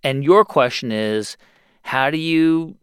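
A man's voice: medium pitch, slow, clean tone, no echo. A friendly male host takes a caller on his advice show, recorded dry and close. He often doubles his words mid-thought before landing his point. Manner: friendly, repeats words while thinking.

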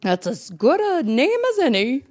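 A woman's voice: high-pitched, big pitch swings, measured pace, darkish tone, soft background music, voice pushed up, exaggerated voice. A woman voicing a caring, sensible mother bear in a children's storybook, emphatic and instructive. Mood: reassuring.

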